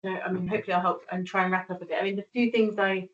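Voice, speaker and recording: A woman's voice: mid-range voice, fairly even intonation, racing, distant mic. A woman GP on the board sums up a patient's account, stressing her points emphatically. The audio is dull and lo-fi. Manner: emphatic, reassuring. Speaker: associate non-executive director and GP. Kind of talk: meeting comment. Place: meeting room.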